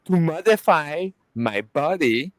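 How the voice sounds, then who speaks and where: A man at home on a group call, chatting excitedly and rambling with halting restarts. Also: a little high, no echo. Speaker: call participant. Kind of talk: casual group chat.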